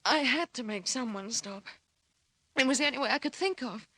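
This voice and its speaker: High-voiced frightened young woman. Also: expressive, normal speed, bright, forceful tone, dry recording. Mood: urgent, anxious. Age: young adult. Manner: urgent, breathless pleading. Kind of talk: 1950s radio crime drama acting.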